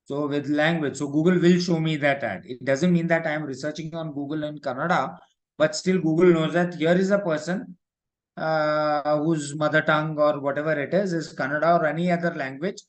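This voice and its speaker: A man with an Indian English accent explains software step by step as an instructor in a live online lesson, often adding check-in tags. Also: a little high, fairly even intonation, moderate pace, rough recording. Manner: repetitive check-in tags like "right" and "okay". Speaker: digital marketing instructor.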